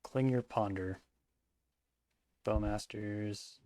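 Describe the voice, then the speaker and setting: A man with a lowish voice talks through a card game match as he plays, muttering his thoughts aloud. His voice is a little hoarse and cracks at times. The sound is close and dry, with no room around it. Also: extremely slow, warm tone, dry recording. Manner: thinking aloud, mumbled asides. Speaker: card game player and commentator. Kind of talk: casual gameplay commentary.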